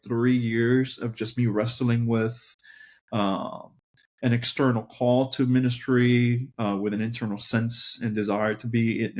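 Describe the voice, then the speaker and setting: A man with a mid-range voice talks through his own life story as a pastor guest on a podcast. He is reflective and conversational, often stopping and restarting as he looks for the right words. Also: mid-range voice. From Colombia and New Jersey. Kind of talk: conversational personal testimony.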